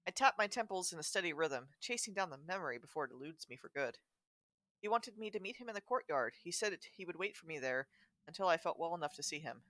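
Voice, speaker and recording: A woman's voice: fairly low pitch, lively intonation, quick pace, fairly bright tone, no room sound. A woman co-hosting a story reading stream, switching between casual chat and reading aloud. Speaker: co-host of a visual novel reading stream.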